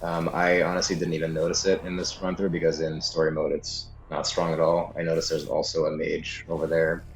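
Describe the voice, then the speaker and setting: Very deep-voiced man explaining a game. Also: level tone, fairly quick, hardly any pauses. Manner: casual, explanatory, hedging. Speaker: guide narrator. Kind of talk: video game walkthrough commentary, unscripted. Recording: slightly echoey room, game music underneath. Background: game music.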